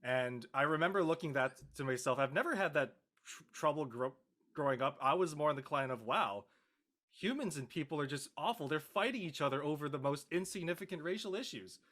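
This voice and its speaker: A man's voice: on the high side, lively intonation, fairly quick, a bit bright. A man in casual discussion, starting sentences hesitantly and stammering a little. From Canada. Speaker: panelist in a post-show cast discussion.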